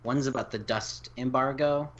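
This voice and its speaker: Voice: mid-range voice, fairly even intonation, normal speed, fairly bright tone, lo-fi sound. A podcast co-host talking in a casual, conversational way. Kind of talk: casual chat.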